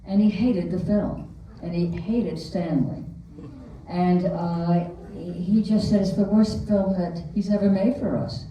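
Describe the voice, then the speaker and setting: A woman's voice: fairly low pitch, steady tone, slow, warm tone, lo-fi sound. A woman who is a film producer tells a long, chatty anecdote to an audience at a theater Q&A. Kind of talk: storytelling at a Q&A. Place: large movie theater. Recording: echoey theater hall, distant mic, faint noise.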